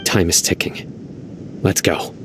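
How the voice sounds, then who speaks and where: A man playing a stern, composed and resolute judge in a video game, speaking in a measured, formal way. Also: noisy background, lightly acted. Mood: grave, resolute. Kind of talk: video game character acting. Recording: music behind the voice.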